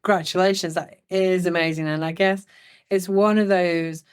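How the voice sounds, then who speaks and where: A woman hosting a podcast interview, asking questions in a measured, encouraging way. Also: lowish voice, fairly even intonation, smooth. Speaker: podcast host.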